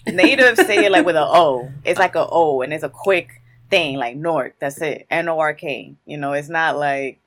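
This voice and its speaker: A guest in their thirties from Newark speaks, answering in long, emphatic stories full of casual street phrasing. The voice sits at a middle pitch, neither high nor low. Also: normal speed, fairly bright tone. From Newark, New Jersey. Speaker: guest, creative in publishing.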